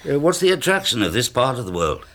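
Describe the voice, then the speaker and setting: An actor playing a polite, hesitant middle-aged English army major in a radio drama, reserved and a little wistful. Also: male voice, mid-range voice, very animated, quick pace, a bit bright.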